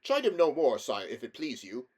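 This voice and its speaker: A man's voice: mid-range voice, wildly swooping pitch, normal speed, darkish tone, voice pushed up. A man voicing a respectful courtly lord who speaks politely and with measure. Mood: soothing. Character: courtly respectful male lord advising a king.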